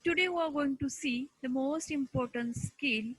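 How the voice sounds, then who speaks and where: A woman teacher with an Indian accent giving an instructional lecture in short, deliberate, emphatic phrases. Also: high voice, a bit bright, dry recording, low-quality recording.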